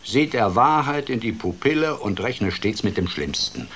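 A man voicing a gloomy female toad who scolds theatrically and gravely in a children's radio play. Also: on the low side, big pitch swings, normal speed, fairly bright tone, a little hiss or hum, pitched above the performer's own voice. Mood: scolding. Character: gloomy, scolding female toad given to doom-laden speeches.

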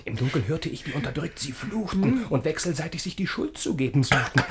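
An actor playing an ardent, naive young gentleman in love, telling his tale exuberantly in rhymed verse for a radio play. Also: medium pitch, background noise, natural voice. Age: young adult.

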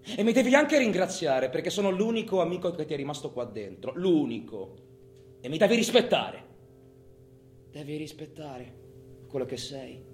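Casting: menacing, possessive crook, sneering stage monologue, highish animated voice, echoey room. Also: male voice, normal speed. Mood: menacing, insistent. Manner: sneering, foul-mouthed, volatile. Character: taunting, possessive male criminal companion, mocking and menacing. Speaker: stage actor. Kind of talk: theatrical monologue. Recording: echoey room, music under the voice.

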